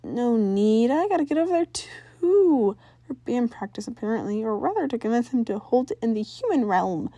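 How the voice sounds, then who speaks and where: A woman's voice: fairly high pitch, very animated, moderate pace, warm tone, dry recording. A woman voicing a sarcastic, exasperated teenage girl in a story reading, blunt and easily flustered. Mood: flat, resigned.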